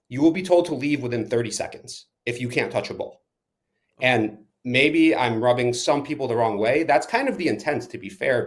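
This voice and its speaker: A middle-aged man from Ukraine talks as an interview guest. His mid-pitched voice is blunt and emphatic, and he leans hard on repeated key words. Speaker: club owner and attorney, guest. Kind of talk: podcast interview answers.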